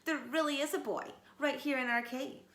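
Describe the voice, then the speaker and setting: A woman doing an excited little wolf cub. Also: high voice, moderate pace, pitched above the performer's own voice. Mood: pleading. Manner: pleading, shouting excitedly. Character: mischievous young male wolf cub. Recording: roomy echo, faint background noise.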